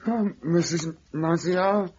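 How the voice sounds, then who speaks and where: An actor playing a meek, nervous, guilt-ridden lodger in an old radio drama, with a husky, halting, stammering delivery. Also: male voice, high-pitched, a little mellow, faint music behind. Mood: uneasy, subdued. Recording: muffled lo-fi vintage radio recording.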